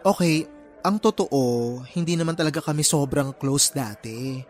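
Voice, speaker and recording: Actor as a chatty, expressive young best friend, male. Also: a little high, moderate pace, soft background music, close to an everyday voice. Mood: startled, concerned. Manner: expressive, playful, coaxing. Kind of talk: Tagalog radio drama acting.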